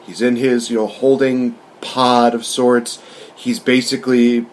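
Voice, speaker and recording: A man's voice: medium pitch, flat delivery, a little slow. A man hosting a podcast, talking through a film review in an informal, chatty, rambling way. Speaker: podcast host.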